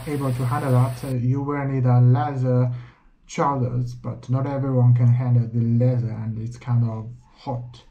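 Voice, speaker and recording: A young man from China with a Chinese accent, speaking English as a second language in a casual motorcycle gear vlog with plain, practical phrasing and frequent pauses. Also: medium pitch, warm tone. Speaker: motorcycle vlogger. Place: outdoors riding a motorbike in the rain, then a small room indoors. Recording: dull lo-fi audio with traffic noise.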